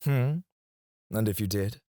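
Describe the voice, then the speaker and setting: Cocky, low-voiced male fantasy alchemist, acted by a man. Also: measured pace, mellow, no room sound, clean studio sound, natural voice. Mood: sly, flirtatious. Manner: teasing, sardonic, goading with mock politeness. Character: cocky, flirty male royal alchemist taunting his rival. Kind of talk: intimate ASMR fantasy roleplay acting.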